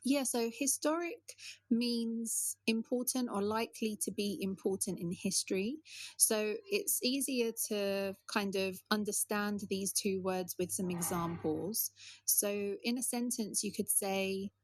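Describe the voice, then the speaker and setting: A woman's voice: mid-range voice, unhurried, no echo. A woman co-hosting an English-learning podcast, explaining word meanings with examples in a casual, friendly way. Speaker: podcast co-host.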